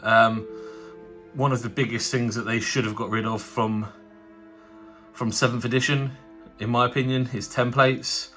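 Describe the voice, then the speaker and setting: A man's voice: mid-range voice, steady tone, normal speed, sharp tone, faint music behind. A man with a cold and a nasal voice, hosting a casual tabletop wargaming unboxing. He rambles chattily, full of fillers and restarts. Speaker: tabletop wargaming channel host.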